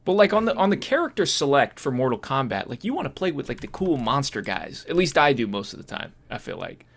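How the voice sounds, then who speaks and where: A man co-hosting a podcast, discussing films casually in a halting, repetitive, conversational way. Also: medium pitch, big pitch swings, gravelly. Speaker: podcast host.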